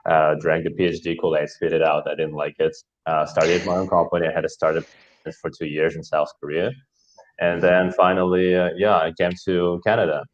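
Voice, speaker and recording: A man's voice: deep voice, flat delivery, fairly quick. A man from Kazakhstan, a guest talking over a video call in a hesitant, philosophical way. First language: Russian. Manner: hesitant, many fillers, philosophical. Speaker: guest speaker. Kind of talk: casual talk. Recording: dull lo-fi call audio.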